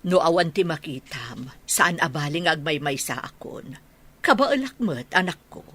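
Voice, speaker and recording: Protective ilocano mom in a radio drama, raspy voice, pitch moves a lot. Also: female voice, expressive, moderate pace, fairly bright tone, full character voice. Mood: concerned. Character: protective, testing mother of a grown son.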